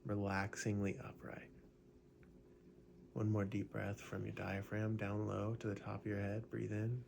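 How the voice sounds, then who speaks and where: A man leading a guided gratitude meditation in a relaxed, conversational way, often adding "right?" and small fillers. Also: fairly low pitch, measured pace, gravelly, noisy background.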